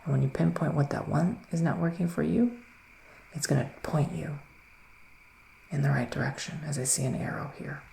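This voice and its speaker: A man speaking in a hushed, breathy ASMR style that often slips into a whisper, soothing and reassuring as he plays a psychic witch giving a reading. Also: on the high side, fairly even intonation, moderate pace. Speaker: ASMR performer playing a psychic reader. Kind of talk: ASMR roleplay, improvised tarot reading. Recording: roomy echo, faint background noise.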